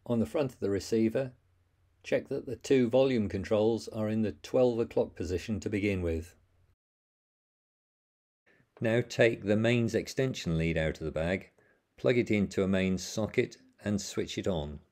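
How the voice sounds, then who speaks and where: Low, mellow man giving step-by-step setup instructions. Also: moderate pace. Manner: plain, methodical instructions with small slips. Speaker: instructional narrator. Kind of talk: step-by-step how-to voice-over.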